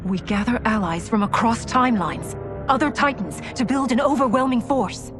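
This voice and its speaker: A woman's voice: fairly high pitch, moderate pace, music in the background, lightly acted. A woman playing a shrewd, determined ally in a fantasy war video game.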